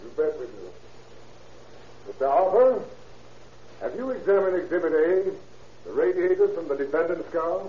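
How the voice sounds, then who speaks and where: A man's voice: fairly high pitch, expressive, normal speed, pauses often, lightly acted. A man playing an aggressive, sardonic prosecutor cross-examining pointedly in an old-time radio courtroom drama. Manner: pointed, sarcastic. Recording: distant, echoey, tinny radio recording with hiss.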